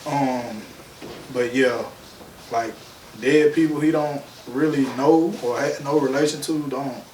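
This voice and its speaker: A man co-hosting a podcast, chatting casually with quick interjections. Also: medium pitch. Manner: relaxed, quick back-and-forth interjections. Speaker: podcast co-host. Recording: echoey room, mic at a distance, faint noise.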